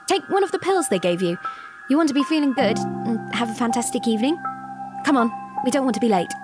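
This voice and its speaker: A woman's voice: a little high, animated, fast talker, over music, lightly acted. A woman playing a contented, affectionate wife in a radio drama, with a playful way of talking. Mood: playful, fond.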